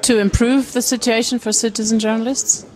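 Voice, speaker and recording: A woman's voice: a little high, level tone, fairly quick, darkish tone. Woman interviewer asking questions.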